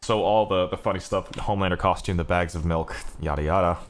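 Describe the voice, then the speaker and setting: A man's voice: low-pitched, fast talker. A man giving casual gameplay commentary as a streamer, griping with exclamations and swearing. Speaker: video game streamer.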